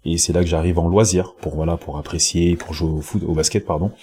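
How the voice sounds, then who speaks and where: Very deep dark husky male voice. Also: fairly even intonation, fast. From Congo. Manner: hesitant, many fillers and repeats, laughs easily. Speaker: professional basketball player, podcast guest. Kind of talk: casual interview talk. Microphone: home podcast mic with headset.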